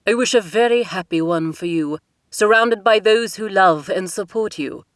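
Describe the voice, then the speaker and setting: A woman's voice: mid-range voice, no room sound, clean studio sound, lightly acted. A woman voicing a stern older king speaking formally to his daughter. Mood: formal firm. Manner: measured, formal, authoritative.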